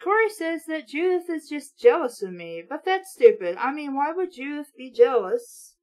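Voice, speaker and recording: A woman's voice: high voice, very animated, moderate pace. A woman reading the part of a self-pitying, insecure twelve-year-old schoolgirl who narrates a storybook in an aggrieved way. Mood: glum.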